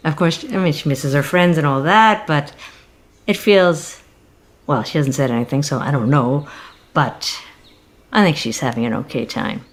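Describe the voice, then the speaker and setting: A woman's voice: sits low, moderate pace. An actress playing a middle-aged single mother in a comedic audio drama, polite and awkward, speaking hesitantly and tactfully. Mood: chatty, wistful.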